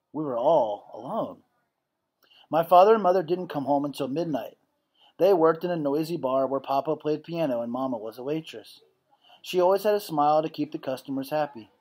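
A man reads a storybook aloud in an expressive way. His voice is a little high for a man and somewhat husky, with lively rises and falls as he tells the story. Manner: expressive storytelling with dramatized dialogue. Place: indoor classroom. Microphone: webcam or laptop at desk distance.

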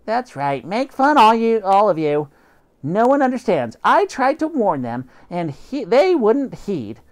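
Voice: medium pitch, wildly swooping pitch, unhurried, a little mellow, voice pushed up. A teacher reading aloud and voicing a melodramatic male house cat who thinks he is a vampire, in a theatrical, exasperated way. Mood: aggrieved.

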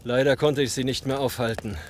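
An actor playing a lone male survivor, grateful yet quietly unsettling, in a German zombie audio drama. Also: medium pitch, fairly even intonation, brisk, slight background noise, natural voice. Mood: grim, bitter.

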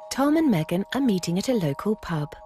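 A woman narrating a documentary from a script. Her voice rises and falls expressively at a middle pitch, and music plays behind her. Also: very animated, brisk, dark tone.

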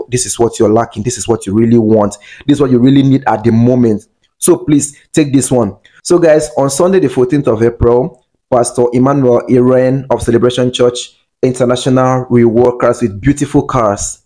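A man's voice: medium pitch, warm tone. A young man hosting a video channel, speaking earnestly into a handheld mic and repeating his points for emphasis. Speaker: channel host. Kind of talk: casual vlog commentary to camera. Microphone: handheld mic close to face.

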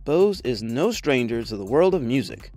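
A man's voice: mid-range voice, big pitch swings, normal speed, a little mellow. A man reading a scripted product review voice-over in a polished, promotional manner. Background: background music.

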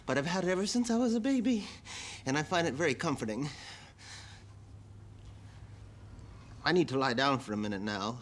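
An actor playing a timid, nervous, stammering accountant who is easily driven to hysterics, in broad comic style. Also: male voice, fairly high pitch, expressive, fast talker, slight background noise, close to an everyday voice. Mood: sheepish. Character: timid, hysterical accountant clinging to his baby blanket.

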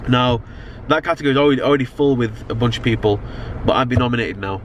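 A young man with a British accent talks off the cuff to his camera inside a car. His voice sits at a medium pitch and he rambles in loose slang, doubling back on his sentences. Clear car and street noise runs behind him. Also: fast. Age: young adult. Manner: rambling, slangy, frequent "you know what I mean". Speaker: vlogger. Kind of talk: casual chat to camera. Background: car and outdoor urban noise.

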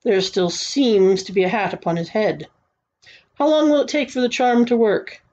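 A woman acts out an imperious storybook king, fretful and quick to exclaim. The voice is raised a little and slightly dark, with orders given grandly. The recording is somewhat dull. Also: mid-range voice, natural voice. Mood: puzzled. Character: irritable, fretful, commanding male king. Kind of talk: storybook read-aloud.

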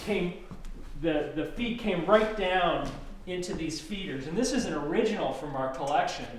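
A man's voice: fairly high pitch, lively intonation, normal speed. Curious museum host man. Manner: curious, asks questions, describes exhibits. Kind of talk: guided tour interview. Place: large old barn museum space. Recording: echoey barn room, faint noise.

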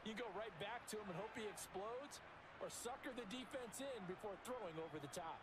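A man working as the color analyst of a sports video game, explaining plays in a measured way. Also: high-pitched, lively intonation. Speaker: color commentator. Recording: loud game noise behind voice.

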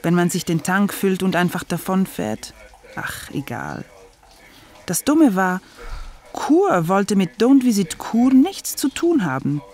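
A woman's voice: medium pitch, very animated, takes it slowly, darkish tone. An actress playing a broke young woman who runs her own small agency and narrates her troubles with sarcastic asides. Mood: wry. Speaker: narrator and lead.

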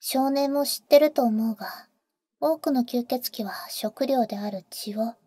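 A woman acts out a protective, teasing vampire big sister for an ASMR roleplay. Her whisper is breathy and mellow, very close and dry on the mic. She uses cool, boyish phrasing with an intimate feel. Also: a little high, a little slow, no room sound. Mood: serious, explanatory. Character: teasing, protective female vampire, older-sister type to a boy. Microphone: close microphone, dry.

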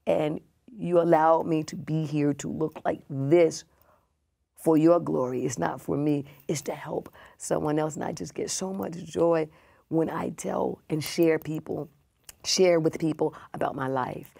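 A woman in her sixties, a pastor talking as a guest in a casual interview, emphatic and repeating her points, often dropping soft and breathy. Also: deep voice, fairly even intonation, a little slow. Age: 60s.

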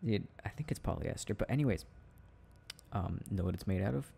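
A young man doing an intimate, casual boyfriend roleplay, playful and affectionate, talking in a halting, giggly way. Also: low voice, animated, vocal fry, mellow, a little hiss or hum. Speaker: boyfriend roleplay audio performer.

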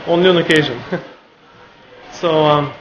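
Bulgarian guy, 30s, kinda high voice, keeps pausing for words, echoey room, muffled, noisy in back. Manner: halting, pausing often to find words. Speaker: artist. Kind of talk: documentary interview. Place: art gallery, indoors. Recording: roomy, muffled, with steady room noise.

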